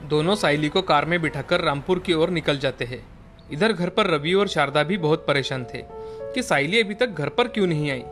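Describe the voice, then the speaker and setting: A man with a slightly high voice narrates a scripted moral story for a children's cartoon. Soft music plays faintly behind him as he tells the tale. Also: animated, moderate pace.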